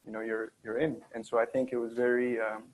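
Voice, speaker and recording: A young man, a university senior, answering questions as a student panelist, soft-spoken and relaxed. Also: medium pitch, fairly quick, rough recording. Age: young adult. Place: conference session room.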